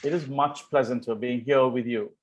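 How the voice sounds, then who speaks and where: A man reading a flippant, charming young gentleman in a Victorian comedy table read, playful with formal phrasing. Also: medium pitch, steady tone, moderate pace, natural voice.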